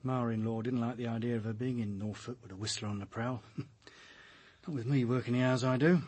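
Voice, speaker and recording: A chatty, blunt British policeman, played by a radio actor, with a husky voice of middling pitch. He talks in clipped, colloquial phrases and sounds matter-of-fact. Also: fairly even intonation, moderate pace, darkish tone, lightly acted. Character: chatty, blunt, down-to-earth male police detective. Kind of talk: radio drama acting.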